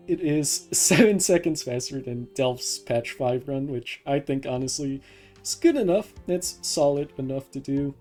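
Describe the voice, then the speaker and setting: Slow-paced male gamer commentating. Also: mid-range voice, a little mellow. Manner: explains each step as they play. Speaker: speedrunner, live streamer. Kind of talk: live gameplay commentary, casual.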